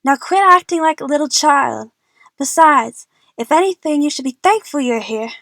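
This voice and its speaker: A woman's voice: sits high, lively intonation, normal speed, gravelly, fairly bright tone, no room sound, studio quality, pitched above the performer's own voice, exaggerated voice. A woman playing a feisty, outspoken and impatient young fangirl in an amateur audio drama. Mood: angry.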